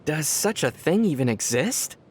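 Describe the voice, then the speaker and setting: A man playing a young male traveler hero in a video game, speaking in a calm, gentle, thoughtful and measured way. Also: fairly high pitch, slight background noise. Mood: lightly amused. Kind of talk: video game character voice lines.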